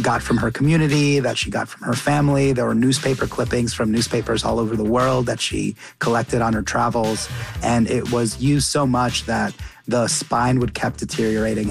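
A man's voice: mid-range voice, creaky. A young man from Qatar, a chef and cookbook author, talking earnestly as a radio interview guest and often restarting his sentences. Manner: earnest, with frequent restarts and repeated words.

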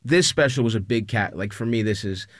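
A man's voice: fairly low pitch, a little fast, a bit bright, dry recording. Candid man, close dry sound. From Greek immigrant. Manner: informal, confessional. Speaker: comedian being interviewed. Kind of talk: casual, candid interview chat.

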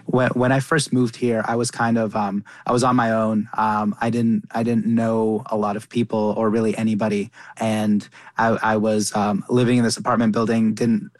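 A young man speaking in a flat, level tone, recorded close and dry with no room sound. He is a chef who was raised in Qatar, answering interview questions and often stumbling over and repeating his words as he goes. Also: on the low side, moderate pace, few pauses, dry recording. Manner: frequent restarts and repeated words. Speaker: chef and cookbook author. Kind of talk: conversational interview.

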